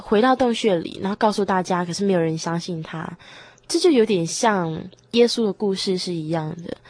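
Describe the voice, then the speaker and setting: A young woman with a Taiwanese Mandarin accent explains things as a casual storyteller. Her voice sits at a middle pitch in a very dry recording, and she is conversational and animated. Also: no room sound. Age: young adult. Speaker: guest radio drama performer.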